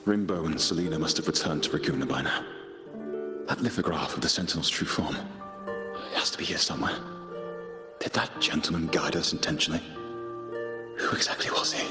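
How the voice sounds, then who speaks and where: A man playing an earnest, idealistic poet turned rebel knight in a video game, solemn and deliberate, in echoing reverb. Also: rumbling low, over music. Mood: pensive, troubled. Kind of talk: scripted video game dialogue.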